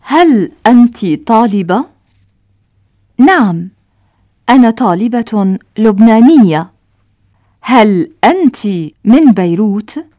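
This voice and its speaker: A woman reading a scripted language-lesson dialogue, enunciating each short line slowly and carefully for learners. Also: fairly high pitch, expressive, dark tone, no echo, clean studio sound.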